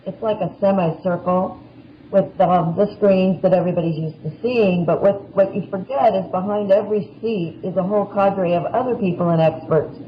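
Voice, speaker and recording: A man with a notably high voice explains casually as a guest. The recording is dull with faint background noise. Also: moderate pace. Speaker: expert podcast guest.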